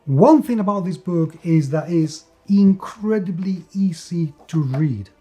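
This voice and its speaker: Emphatic male game reviewer, mellow voice. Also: sits high, lively intonation, a little slow, faint music behind. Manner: enthusiastic, emphatic, repeats words for stress. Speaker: host and reviewer of tabletop role-playing games. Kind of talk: scripted-sounding video review.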